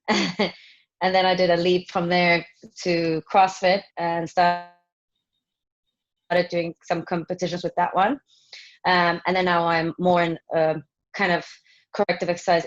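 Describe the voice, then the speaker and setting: A woman in her thirties from Finland, answering interview questions casually, with many pauses, ums and restarts. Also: fairly low pitch, highs cut off. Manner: hesitant, many ums and restarts, laughs often. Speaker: fitness trainer interviewee.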